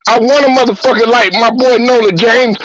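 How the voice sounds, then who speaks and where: A man's voice: very high-pitched, steady tone, brisk, a bit bright, dry recording, highs cut off. A man hosting a talk show, ranting bluntly and profanely with heated repetition. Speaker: host. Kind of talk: profane sports-talk rant.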